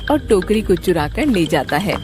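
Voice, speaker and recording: A woman's voice: on the low side, animated, normal speed, music in the background. A woman reading scripted storybook narration for a children's cartoon.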